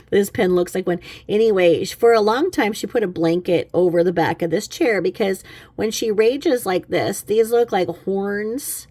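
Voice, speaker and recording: A woman talks in a casual, rambling stream of snarky commentary, pitched in the middle range. She mocks as she goes and tends to chuckle through her remarks. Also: a little slow, warm tone, no room sound. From lives in a small religious community. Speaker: commentary vlogger. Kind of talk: casual mocking reaction commentary.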